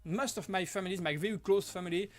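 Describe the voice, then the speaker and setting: French-accented man, high and halting. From Switzerland. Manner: halting, many restarts, self-correcting. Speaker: live-stream host, prop maker. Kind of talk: casual live-stream Q&A.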